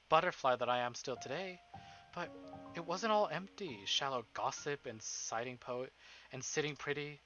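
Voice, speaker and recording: A man voicing the inner monologue of a weary, wistful high-society wife in a visual novel, formal and introspective. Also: bright, forceful tone, muffled recording. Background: game music.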